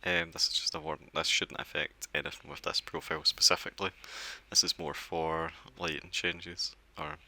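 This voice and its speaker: A man giving a relaxed step-by-step software setup walkthrough, explaining as he clicks through with occasional fillers. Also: rumbling low, normal speed, gravelly, hardly any pauses, no room sound. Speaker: software tutorial presenter. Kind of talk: screen-recorded software walkthrough.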